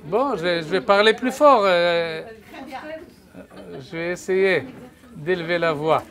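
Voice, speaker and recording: An older man teaching a Bible class in a lecture room with no microphone, speaking haltingly with many restarts and raising his voice to carry. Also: high-pitched, fairly bright tone, a faint crowd. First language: French. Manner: halting, many restarts and repeated words, raises his voice to be heard. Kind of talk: lecture to a live audience. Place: lecture room with projector screen. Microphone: no microphone, distant camera.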